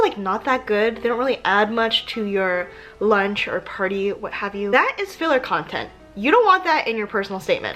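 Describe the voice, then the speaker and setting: A young woman vlogger giving chatty, expressive, self-deprecating advice, moving between casual talk and dramatic reading. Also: on the high side. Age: young adult. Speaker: advice vlogger for pre-dental students.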